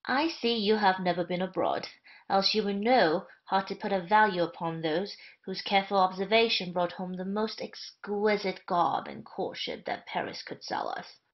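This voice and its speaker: A woman's voice: medium pitch, normal speed, close to an everyday voice. A woman playing a roguish, affected male traveller in a period comedy audio play, with flowery, mock-courtly speech. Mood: mock-grand. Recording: dull, lo-fi audio.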